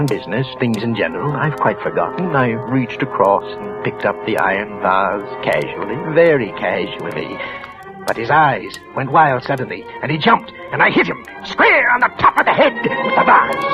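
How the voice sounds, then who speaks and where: Nervous clerk, low voice, whispery, tense, 1940s radio drama, music behind. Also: male voice, low-quality recording. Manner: hushed, tense, often whispering. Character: nervous, trembling office clerk nursing hatred. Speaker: narrator and lead character. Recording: old radio broadcast with music.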